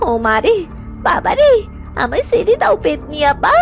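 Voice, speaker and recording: Cartoon housemaid, frightened, shrill, high-pitched, swooping, pleading wail. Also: female voice, big pitch swings, moderate pace, creaky, faint music behind, exaggerated voice. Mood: panicked. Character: frightened pleading young female house servant. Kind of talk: animated cartoon voice acting.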